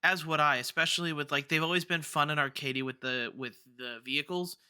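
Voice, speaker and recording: A man's voice: fairly high pitch, fairly even intonation, fairly quick, pushed and bright, clean tone, no echo, studio quality. A man hosting a podcast, talking casually through gaming news in a rambling way, often stammering and restarting. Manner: rambling, stammering with repeated false starts. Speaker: podcast host.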